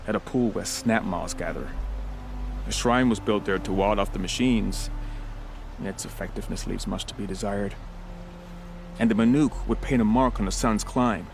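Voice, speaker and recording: An actor playing a humble, remorseful priest in a video game, speaking in a measured, formal, earnest way. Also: male voice, on the low side, lightly acted. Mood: earnest, solemn. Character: solemn, grieving young priest, humble and remorseful.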